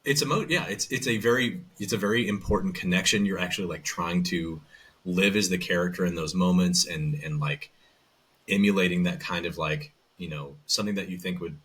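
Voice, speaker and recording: A man's voice: low voice, brisk, fairly bright tone, husky. A man from the American South, a voice actor and audiobook narrator, telling his story as a guest in a casual chat with lots of restarts. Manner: rambling, loose storytelling with many restarts.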